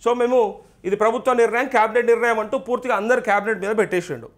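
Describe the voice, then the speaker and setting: A man's voice: sits high, racing. A male journalist with a Telangana Telugu accent hosting live political commentary, pressing his points with repeated rhetorical questions. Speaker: journalist and TV host.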